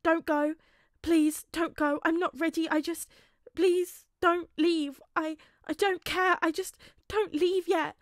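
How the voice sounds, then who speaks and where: Woman acting a pleading, high-voiced teenage boy. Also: steady tone, slow pace, dry recording, studio quality. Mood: desperate, pleading. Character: distraught pleading fourteen-year-old boy, devoted to his father. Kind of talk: audiobook character acting.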